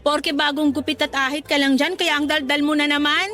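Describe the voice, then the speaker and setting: An actress playing a cheerful, amused coworker being courted, teasing and giggly in a Tagalog radio drama. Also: female voice, high-pitched, flat delivery, fairly quick, bright, forceful tone.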